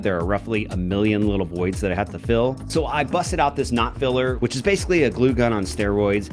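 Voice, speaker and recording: A middle-aged man narrating his woodworking in a casual, jokey, matter-of-fact way from his workshop. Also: mid-range voice. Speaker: woodworking video maker. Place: woodworking shop.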